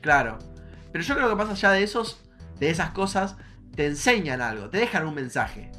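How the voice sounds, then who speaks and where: A man's voice: on the high side, very animated, normal speed, pushed and bright, faint music behind. A young man with an Argentine accent chatting casually as a podcast host.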